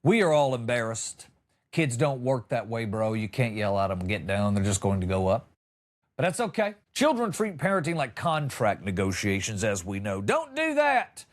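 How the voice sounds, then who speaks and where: A man's voice: mid-range voice, big pitch swings, moderate pace. A middle-aged man hosting a comedy show, telling a story in a wry, exaggerated way with emphatic punchlines. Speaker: comedian and podcast host. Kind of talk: comic storytelling monologue.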